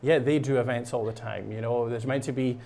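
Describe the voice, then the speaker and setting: A man's voice: medium pitch, fairly even intonation, a little fast, gravelly, over a noisy crowd. A man from Scotland with a Scottish accent, a guest chatting casually on a local show and explaining a program with lots of fillers and restarts. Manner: rambling, many fillers and restarts. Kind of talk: casual chat. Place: studio while painting.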